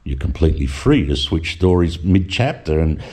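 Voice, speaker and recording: A man's voice: rumbling low, sing-song, moderate pace, warm tone, slightly rough. A middle-aged man reading a reflective voice-over with wry asides. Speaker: vlogger narrator.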